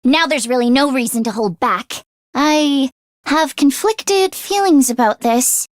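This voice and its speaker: A woman voice acting an earnest, anxious teenage schoolgirl in an English video game, with expressive, emotionally heightened delivery. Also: sits high, a little slow, no echo, clean studio sound. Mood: concerned.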